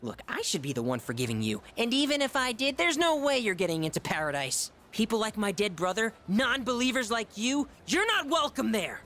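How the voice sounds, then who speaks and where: A haughty young cult believer for a video game. The voice is high and bright, swooping theatrically, taunting and volatile. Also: female voice, big pitch swings, brisk, hardly any pauses, faint music behind. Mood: smug taunting. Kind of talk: English video game voice acting.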